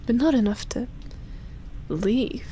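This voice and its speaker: A woman voicing an ancient, lonely vampire in love, speaking softly and intimately with sighs, whispered words and lingering pauses, as in roleplay ASMR. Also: fairly high pitch, slight background noise, rough recording. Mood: tender, teasing. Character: lonely, obsessive female vampire, ancient, tender and teasing.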